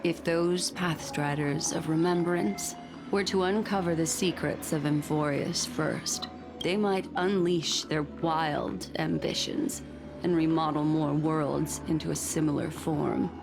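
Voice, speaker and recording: An actress playing a courteous, secretive memory keeper in a video game, speaking in a measured, formal way over music. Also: female voice, low voice, fairly even intonation, vocal fry, exaggerated voice. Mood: grave, guarded. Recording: background music under the voice.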